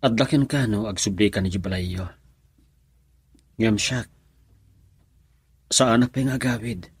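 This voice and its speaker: A man's voice: fairly low pitch, measured pace, husky, no room sound. A man acting an earnest young lover in an Ilocano radio drama, with a pleading, emotive delivery. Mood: worried, tender. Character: earnest young male lover, devoted grandson.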